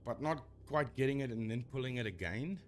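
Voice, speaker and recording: Animated man rambling on stream. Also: mid-range voice, brisk, over music. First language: Afrikaans. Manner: rambling, self-correcting, animated. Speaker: streamer debunking ghost videos. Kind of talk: casual live-stream commentary.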